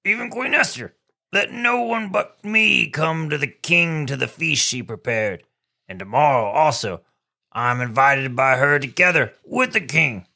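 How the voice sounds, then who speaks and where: A man's voice: fairly high pitch, animated, unhurried, gravelly, bright, forceful tone, heavily acted. A man playing a proud, scheming royal official in a school radio skit. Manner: reading formal lines.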